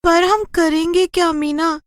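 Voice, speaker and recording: A woman's voice: very high voice, steady tone, a little slow, no room sound, pitched above the performer's own voice. A woman voicing a frightened, anxious young village girl with an urgent, childlike delivery. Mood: alarmed.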